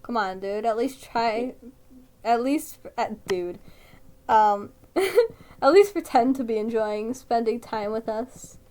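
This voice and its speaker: A woman reading the part of a bitter, sharp-tongued older brother with a needling, sarcastic manner. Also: a little high, slow pace.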